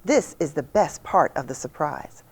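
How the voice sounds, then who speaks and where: A woman's voice: deep voice, expressive, normal speed, husky, voice pushed down, exaggerated voice. A woman voicing a cheerful, teasing mother in a children's storybook, playfully secretive. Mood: playful, motherly.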